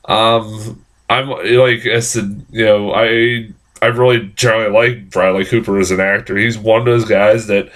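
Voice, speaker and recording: A young man with a fairly low voice, hoarse and stuffed-up from a recent cold. He rambles loosely through a film review, full of ums, likes and casual swearing. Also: fairly bright tone. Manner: rambling, frequent fillers and profanity.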